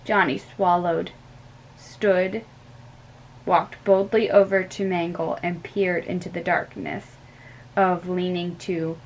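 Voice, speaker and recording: Slow young woman reading a story aloud. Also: lowish voice, little pitch movement, poor audio. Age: young adult. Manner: slow, careful with small stumbles. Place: small bedroom indoors. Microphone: webcam at close range.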